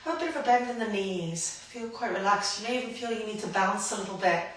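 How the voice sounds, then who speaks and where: A woman guiding a grounding movement practice, gentle and invitational, her voice a little croaky from hay fever. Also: medium pitch, distant mic, a little hiss or hum. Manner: gentle, invitational, unhurried guidance. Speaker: somatic practice guide. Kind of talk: guided movement instruction. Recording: roomy echo, voice from afar.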